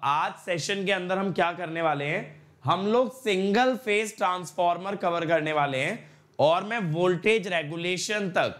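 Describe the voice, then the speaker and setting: A young man with a sore throat, hosting an online exam-prep class, mixing Hindi with English and repeating his points with energy. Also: high voice. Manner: repetitive, energetic, coaxing viewers with "my brother". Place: studio in front of a slide screen.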